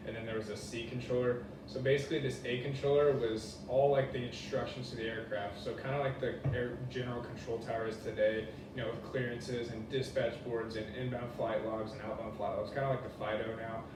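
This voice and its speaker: A man's voice: medium pitch, flat delivery, brisk, few pauses. A young man giving an informal class presentation, talking in a casual, colloquial way. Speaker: student presenter. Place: echoey indoor room, presenting to a class. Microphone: distant room microphone. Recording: very echoey, distant mic, noisy room.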